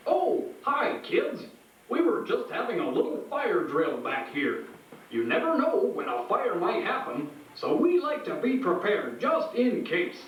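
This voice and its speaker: Man voicing a high-pitched folksy puppet in echoey playback. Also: far from the mic, a little hiss or hum, exaggerated voice. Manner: folksy, addresses children directly. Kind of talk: children's educational puppet show with songs. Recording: echoey, dull playback from afar over music.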